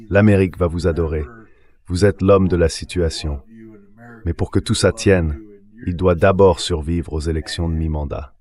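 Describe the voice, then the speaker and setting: A man's voice: low voice, darkish tone. A man reading a translated voice-over of political commentary, speaking assertively and emphatically, often with rhetorical questions. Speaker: interview guest, political commentator.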